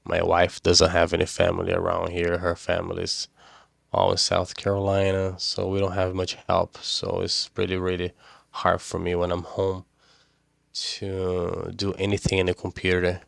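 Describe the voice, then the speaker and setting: Deep flat-voiced man with Portuguese accent. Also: creaky, no room sound. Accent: Portuguese-speaking. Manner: halting, repeats words, many fillers. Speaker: pressure washing business owner. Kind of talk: casual vlog talk to camera.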